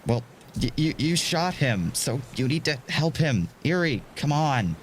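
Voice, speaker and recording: A man's voice: fairly high pitch, very animated, measured pace, slight background noise. Man acting a hot-headed, agitated young hostage. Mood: desperate, angry. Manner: agitated, urgent, stammering. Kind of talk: audio drama acting.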